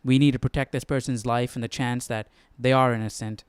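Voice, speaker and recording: A man's voice: medium pitch, steady tone, brisk, smooth, no room sound. A man hosting a Bible study, explaining casually and tentatively as he thinks aloud, often checking himself. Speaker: host of a Bible study stream.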